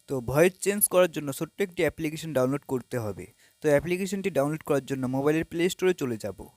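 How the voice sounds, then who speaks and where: Dry, slightly high-voiced man giving a tech tutorial. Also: fast, no echo. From Bangladesh. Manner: opens nearly every sentence with the same filler, calm and instructive. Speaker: tech tutorial channel host. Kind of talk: step-by-step app tutorial narration.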